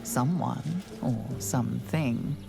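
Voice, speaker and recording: A woman playing the watchful narrator of a fantasy game, telling it in a measured, ominous way. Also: a bass voice, vocal fry, heavily acted. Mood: grave, intrigued. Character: grave, watchful female storyteller of a fantasy game. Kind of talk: dramatic second-person scripted narration. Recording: music behind the voice.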